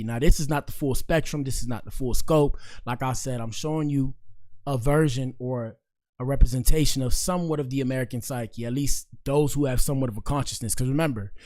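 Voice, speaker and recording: Hoarse, slangy man hosting a livestream. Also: mid-range voice, a little fast, a little mellow. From Miami-Dade County, Florida. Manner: loose, slangy, rambling, talks straight to the chat. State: hoarse, has partly lost his voice. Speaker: podcast host. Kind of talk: casual livestream commentary.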